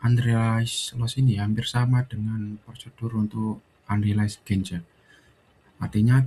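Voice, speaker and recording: A man's voice: mid-range voice, mellow, low-quality recording. A young man giving an explanatory student presentation, often adding little check-in tags. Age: young adult. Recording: faint background noise.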